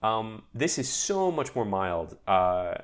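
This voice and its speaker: A young man chatting casually, talking in a lively, rambling way with many fillers and restarts while getting over a mild cold. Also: on the low side, takes it slowly, clean tone. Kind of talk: casual chat.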